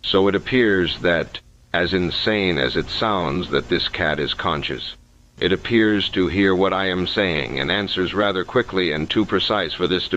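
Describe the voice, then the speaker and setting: Low-voiced methodical investigator, played by a man, lo-fi. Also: fairly bright tone. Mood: analytical, wary. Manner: measured, analytical, deliberate. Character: methodical, sceptical male investigator recording case notes. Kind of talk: scripted analog horror narration. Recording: dull lo-fi sound, faint noise.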